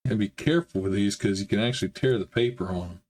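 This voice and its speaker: A man hosting a hobby model-building channel, talking in a casual, rambling way with frequent fillers, his voice slightly hoarse. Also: on the low side, fast, darkish tone, a little hiss or hum. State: slightly hoarse, recovering from a chest illness. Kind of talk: casual build vlog narration.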